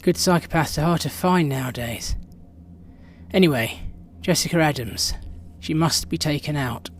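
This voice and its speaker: A man's voice: a little high, normal speed, slight background noise, lightly acted. A man acting a ruthless intelligence chief in a radio drama, clipped and commanding. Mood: cold, commanding. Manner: clipped, authoritative.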